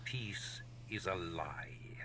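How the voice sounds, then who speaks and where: An actor playing a menacing, imperious Sith lord in a video game, speaking formally and deliberately. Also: male voice, low voice, lively intonation, exaggerated voice. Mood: smug, probing. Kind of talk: scripted video game voice acting.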